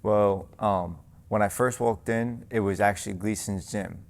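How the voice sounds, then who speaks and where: Young new boxer guy, deep gravelly voice, pretty flat, lots of "you know". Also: a little slow. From New York. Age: young adult. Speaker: guest, amateur boxer. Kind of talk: casual interview. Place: boxing gym.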